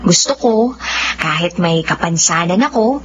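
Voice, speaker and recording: A woman acting a gentle, modest, hopeful young woman in a drama, with a Filipino accent. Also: fairly low pitch, lively intonation, measured pace. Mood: sincere, hopeful. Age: young adult. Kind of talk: drama dialogue. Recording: dull, faintly noisy recording.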